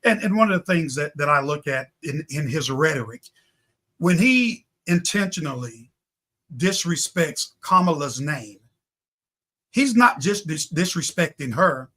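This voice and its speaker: Male talk show guest, high and bright voice, impassioned, rhetorical political delivery with repetition for effect. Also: sing-song, measured pace.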